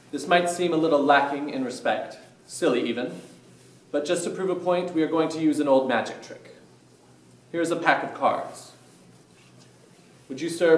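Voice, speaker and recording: In an echoey space, off from the microphone, a man playing a courteous doctor speaks in a fairly high male voice. The delivery is formal and measured, like announcements in a stage drama. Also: lightly acted. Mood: courteous, showman-like. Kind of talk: staged play reading. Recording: echoey room, distant mic.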